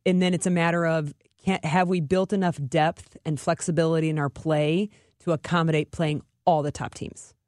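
A woman's voice: low-pitched, little pitch movement, normal speed, a little mellow, no echo, studio quality. A woman co-hosting sports radio, chatting about soccer informally and thinking aloud in loose phrases. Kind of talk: conversational soccer discussion.

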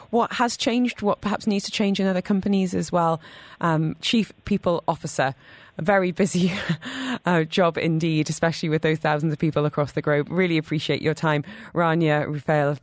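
A woman with a low voice hosts a radio food program, chatting with guests in an enthusiastic, conversational way. Her voice is close and dry, recorded in a studio. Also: brisk, no room sound. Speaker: radio show host. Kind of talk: radio interview.

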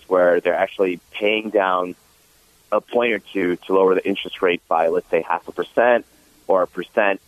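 A man calling into a radio show as a mortgage expert, explaining in a steady, agreeable way. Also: sits low, no echo. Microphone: phone line. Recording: tinny phone line.

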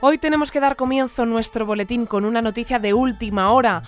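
Actress as composed newsreader over music. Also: female voice, a little high, fairly quick, natural voice. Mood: composed, announcing. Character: composed female news presenter of a surreal science community. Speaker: news presenter. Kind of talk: scripted news bulletin. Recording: music bed behind the voice.

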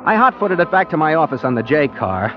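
An actor playing an earnest, daydreaming rookie private detective, boyish and wryly self-deprecating, in 1940s radio style. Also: male voice, a little high, big pitch swings, brisk. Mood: wry, musing. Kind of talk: 1940s radio acting with first-person narration. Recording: dull vintage 1940s radio, music behind.

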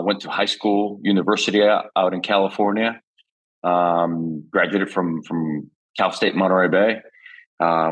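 A man in his forties, originally from Chile, talking casually as a podcast guest about his career, with plenty of fillers and restarts. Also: deep voice. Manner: relaxed, with frequent fillers and restarts. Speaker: podcast guest, wine executive. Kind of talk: casual interview chat.

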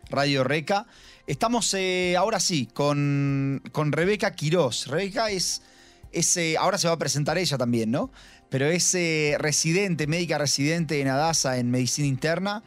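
A man hosts an informal radio interview, speaking haltingly and rephrasing as he goes. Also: a little high, faint music behind.

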